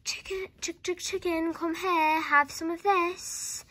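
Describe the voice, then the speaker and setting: Storybook grandson, performed by a woman: high, bright, earnest, calling out and insisting. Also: steady tone, slow pace, exaggerated voice. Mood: coaxing. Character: earnest young grandson, protesting and coaxing.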